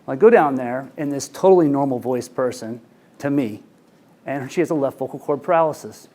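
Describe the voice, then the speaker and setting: A man's voice: mid-range voice, a little fast, mellow. Man lecturing to clinicians. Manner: conversational, anecdotal, frequent fillers. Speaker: ENT physician lecturer. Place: conference room with audience. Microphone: handheld microphone.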